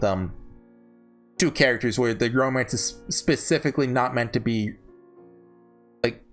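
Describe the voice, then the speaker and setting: A man giving casual, rambling commentary as a video host, informal and hesitant, often correcting himself. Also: mid-range voice. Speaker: video host reviewing visual novels.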